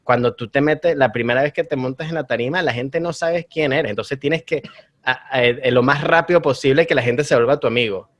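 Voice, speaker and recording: Male comedian guest with a Venezuelan accent, mid-range voice, relaxed and rambling. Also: medium pitch, fairly quick. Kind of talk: casual conversation.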